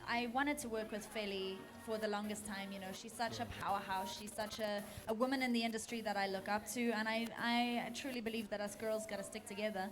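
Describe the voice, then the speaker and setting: A woman from Zimbabwe talks on a radio show as the guest singer. She sounds earnest and enthusiastic, with frequent ums and restarts in her phrasing. Music runs clearly under her voice in the studio. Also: medium pitch, fairly even intonation, brisk, few pauses. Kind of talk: radio interview.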